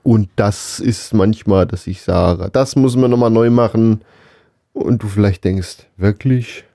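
Low, mellow man podcast host. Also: measured pace, gravelly, dry recording, studio quality. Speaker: podcast and radio co-host who runs the studio. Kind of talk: casual chat.

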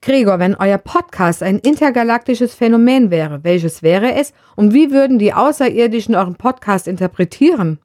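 A woman reading out scripted interview questions in a neat, even and formal way. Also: mid-range voice, normal speed, hardly any pauses. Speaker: question reader. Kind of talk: scripted questions read aloud.

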